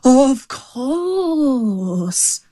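A woman voicing a sly storybook jackal who feigns muddled stupidity, dithering and wailing plaintively in drawn-out phrases. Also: a little high, expressive, no echo, clean studio sound, pitched above the performer's own voice. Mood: trembling, muddled.

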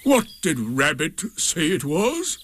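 Storybook donkey, old and male, played by a man: solemn, bitter, dragging delivery with wide swoops of pitch. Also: huge pitch swings, a bit bright, a little hiss or hum, full character voice. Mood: puzzled, suspicious. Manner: slow, solemn, drawn-out pronouncements.

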